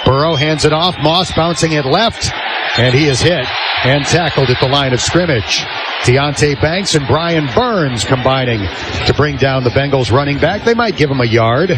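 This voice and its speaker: High-pitched American football play-by-play man. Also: normal speed, background noise. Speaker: radio play-by-play announcer. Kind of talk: live American football commentary.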